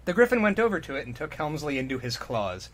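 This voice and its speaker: A man's voice: fairly high pitch, sing-song, quick pace. A man co-hosting a comic story reading, narrating dramatically and breaking into mocking, exclamatory, sweary asides. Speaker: podcast co-host and reader.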